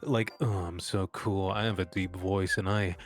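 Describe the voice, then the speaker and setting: A man's voice: sits low, fairly even intonation, normal speed. A young man chatting casually on a stream, rambling with lots of fillers and restarts. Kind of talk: casual chat.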